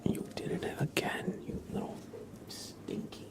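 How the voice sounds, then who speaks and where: Whispering man, slow ASMR chat. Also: over loud music. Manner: hushed, mostly whispering, rambling gently. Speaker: ASMR livestreamer.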